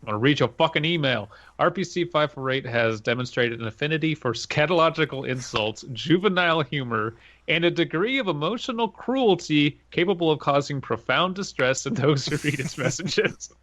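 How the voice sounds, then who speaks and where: A man's voice: a little high, animated, normal speed, hardly any pauses, fairly bright tone, no room sound, lo-fi sound. A man co-hosting a casual podcast, reading a document aloud with swearing asides. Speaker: podcast co-host.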